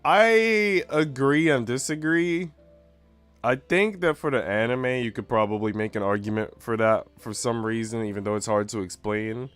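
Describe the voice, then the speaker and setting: A man's voice: mid-range voice, normal speed, a bit bright, clear, resonant tone, faint music behind. A man streaming a game, chatting casually with slang and swearing and at times reading story narration aloud. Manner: informal, slangy, profane. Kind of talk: casual chat mixed with reading visual novel narration aloud.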